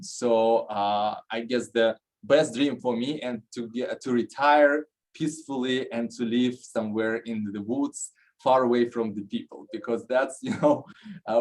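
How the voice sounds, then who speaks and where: A man's voice: mid-range voice, darkish tone, low-quality recording. A man in his thirties from Russia with a Russian accent, moderating an online panel and telling his own story with many fillers and restarts.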